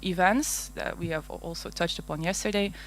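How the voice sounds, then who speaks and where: A woman's voice: fairly low pitch, brisk, a little hiss or hum. A woman from Belgium with a Flemish Dutch accent, giving a conference talk in a large hall, hesitant with many fillers and little laughs. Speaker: conference speaker. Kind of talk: conference presentation. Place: large darkened auditorium.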